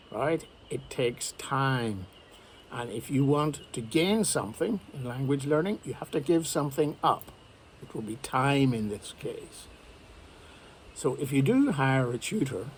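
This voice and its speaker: A man teaching English learners, talking straight to camera in a measured, advising way. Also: medium pitch, a little mellow, slight background noise. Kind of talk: direct-to-camera lesson.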